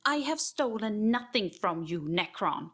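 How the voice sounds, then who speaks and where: A woman's voice: mid-range voice, very animated, a little slow, a crowd in the background. A woman playing an eccentric, curious tech-priest scholar, affectionate and a little nervous, in a sci-fi audio drama. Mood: nervous pleading.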